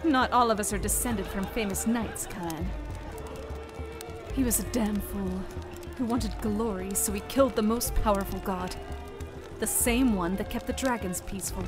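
A woman performs as a young tavern barmaid, earnest and wry, with a slightly high voice. Her acting suits a fantasy audio play, and loud music runs underneath. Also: moderate pace, lightly acted. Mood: bitter, wistful. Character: young, bitter but dutiful fantasy barmaid.

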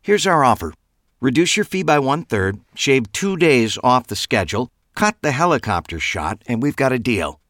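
A man voicing a brisk, businesslike woman making a deal, in an audiobook. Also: mid-range voice, sing-song, a bit bright, dry recording, clean studio sound, voice pushed up.

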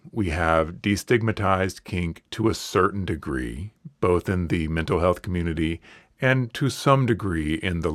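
A man hosting a solo podcast, talking in an earnest, reassuring and plainspoken way while hoarse from a cold and losing his voice. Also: sits low, dry recording, clean studio sound. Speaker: podcast host.

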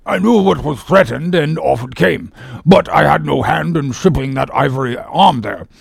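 A man's voice: fairly high pitch, normal speed, gravelly, breathy edge, no echo, studio quality, voice pushed up, exaggerated voice. A man voicing a pompous, imperturbable English ship's surgeon, speaking with formal, long-winded gravity in an audiobook story. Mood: dryly facetious. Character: pompous, dryly joking English ship's surgeon, solemn rogue.

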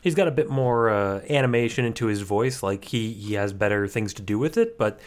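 A man's voice: lowish voice, a little fast. A man co-hosting a podcast, chatting casually and jokingly about cartoons. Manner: joking, riffing with mock voices. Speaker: podcast co-host.

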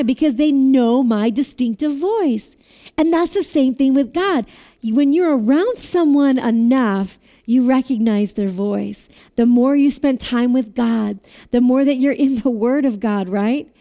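A woman preaching a sermon with a loud voice, asking listeners to repeat phrases after her. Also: high-pitched, a little slow. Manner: asks the audience to repeat phrases.

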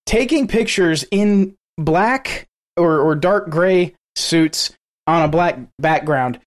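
A man's voice: on the high side, slow pace. A man co-hosting a podcast in casual chat, rambling and laughing often between thoughts. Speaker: podcast co-host.

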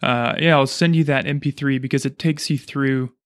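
A man's voice: mid-range voice, fairly even intonation, moderate pace, no room sound, clean studio sound. A man hosting a casual interview, chatting and explaining things as he goes. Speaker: podcast host, chiropractor.

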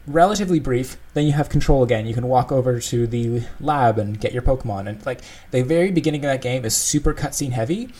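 A man's voice: mid-range voice, mellow. A man co-hosting a casual discussion, explaining his thoughts in a thoughtful, conversational way. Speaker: podcast co-host discussing game design.

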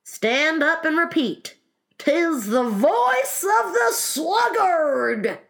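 A woman's voice: on the low side, expressive, a little slow, a bit bright. Woman as a solemn, bossy storybook gryphon. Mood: impatient, bossy. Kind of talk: storybook character reading.

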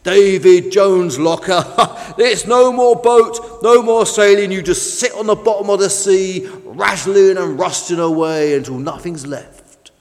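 A man voicing an excitable fishing boat in a children's story, with salty sailor talk and dramatic exclamations. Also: high-pitched, a little slow, long reverb tail, voice pushed up. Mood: gleeful, ominous. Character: excitable fishing boat, gleeful teller of scary sea tales.

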